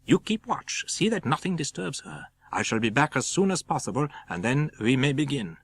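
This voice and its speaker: Male reader as foreign doctor-professor, grave, kindly, commanding, deliberate quaint phrasing, lively swooping pitch, audiobook drama. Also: breathy edge. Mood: calm, instructing. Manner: quaint non-native phrasing, deliberate and emphatic.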